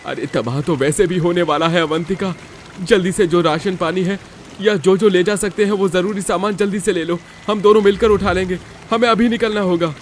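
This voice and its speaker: A man's voice: high voice, moderate pace, slight background noise, voice pushed up, natural voice. A man voicing a poor, worried but caring young husband in dramatized storybook dialogue. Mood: urgent, distressed.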